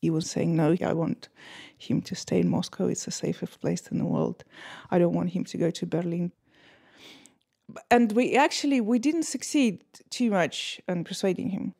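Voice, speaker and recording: Low, gravelly woman recalling things candidly. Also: moderate pace, mellow. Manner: candid, slightly non-native phrasing. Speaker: interviewee. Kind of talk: interview recollection.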